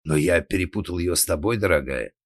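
A man's voice: low voice, fairly quick, no echo. A doting, lecherous policeman of a comic audiobook, as a male narrator acts him, cooing and wheedling like a henpecked husband. The recording is dry and close. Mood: sheepish wheedling.

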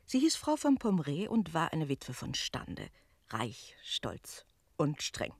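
A woman's voice: sits low, slow, dry recording, clean studio sound, full character voice. An actress playing a harried, talkative female innkeeper who tells a tale theatrically in a radio play. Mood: absorbed in telling. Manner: expressive, switching between narrating and brusque replies.

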